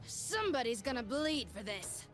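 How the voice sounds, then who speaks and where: A woman's voice: a little high, very animated, moderate pace, fairly bright tone. A woman playing a confident, flirtatious and vengeful pirate captain in a video game, with a teasing, swaggering delivery. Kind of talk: video game character voice lines. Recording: game audio under music.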